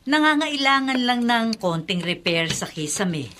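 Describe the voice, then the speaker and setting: A woman's voice: on the high side, very animated, unhurried, fairly bright tone, slight background noise. A woman playing a kindly, practical older Filipino aunt in a radio drama. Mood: practical, encouraging.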